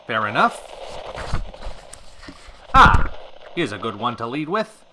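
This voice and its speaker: An actor playing a showy radio host of a retro variety hour, with polished, theatrical announcer patter in an old-time radio style. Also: male voice, mid-range voice, very animated, slow pace, heavy background noise. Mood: persuasive. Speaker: radio show host and interviewer.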